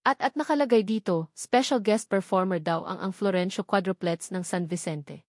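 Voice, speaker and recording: A woman voicing an excitable, astonished motherly neighbour in a narrated story, exclaiming and gossipy. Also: mid-range voice, moderate pace, dry recording, studio quality, lightly acted. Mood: astonished, excited.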